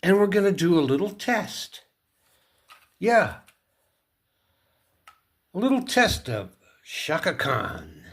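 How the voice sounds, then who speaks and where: A man's voice: sits high, huge pitch swings, slow pace, pauses often, a bit bright, breathy edge. A man casually narrating a hands-on DIY repair, talking himself through each step in a folksy, playful way. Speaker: host of a DIY repair video.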